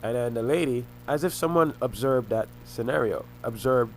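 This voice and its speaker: A young man talking to the camera in a rambling monologue, often halting, repeating himself and restarting phrases. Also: medium pitch, slight background noise, rough recording. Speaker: vlogger.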